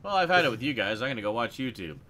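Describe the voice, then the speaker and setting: Bright-voiced, animated man hosting a chat. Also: medium pitch, very fast. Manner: joking, teasing. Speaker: host of a geek chat show. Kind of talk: casual group chat.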